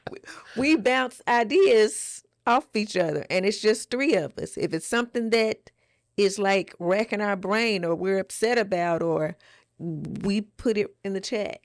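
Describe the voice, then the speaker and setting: Lady podcast host, lots of vocal fry, casual encouraging advice, dry close room sound. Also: mid-range voice, unhurried, no room sound.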